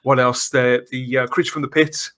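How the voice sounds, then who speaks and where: A man's voice: medium pitch, steady tone, normal speed, pushed and bright. A man hosting a fan podcast in a chatty, playful and effusive way. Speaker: podcast host.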